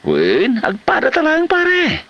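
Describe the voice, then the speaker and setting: An actor playing a lazy, sly husband in an Ilocano comedy drama, with an Ilocano accent and exaggerated, whining excuses. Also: male voice, extremely high pitch, huge pitch swings, slow, sharp tone, no echo, muffled recording, heavily acted. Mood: placating.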